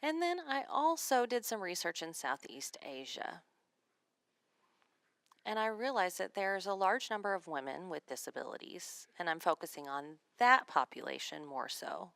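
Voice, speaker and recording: A woman with a notably low, deep voice gives a spoken interpretation of a seminar lecture. She moves through it in measured phrases, and the sound is close and dry with little room around it. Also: expressive, gravelly, fairly bright tone, no room sound. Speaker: sign language interpreter voicing a signed lecture.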